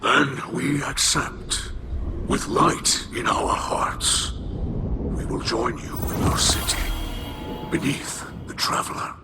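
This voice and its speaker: A man voicing a courteous alien refugee leader in a video game, formal and gravely earnest, with a breathy, hushed rasp. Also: medium pitch, fairly even intonation, takes it slowly. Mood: solemn gratitude. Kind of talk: video game cutscene acting. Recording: voice under loud game music.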